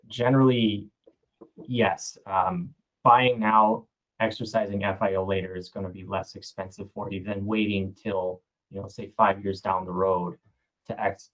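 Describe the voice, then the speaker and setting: A man's voice: low voice, little pitch movement, normal speed, fairly bright tone. A man answering as an insurance agent on a panel. He explains casually and a bit hesitantly, with fillers. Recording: dull lo-fi audio.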